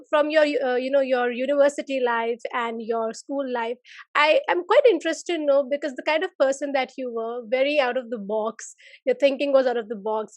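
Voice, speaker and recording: A woman's voice: high-pitched, no echo. A woman hosting a podcast, asking long, winding questions and reflecting on the guest's answers for her listeners. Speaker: podcast host.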